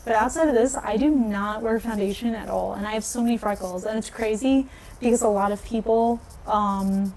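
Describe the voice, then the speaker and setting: A woman's voice: steady tone, normal speed, a little mellow, rough recording. A young woman chatting casually on a livestream, laughing often and answering viewer comments. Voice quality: low voice. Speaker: nail polish vlogger.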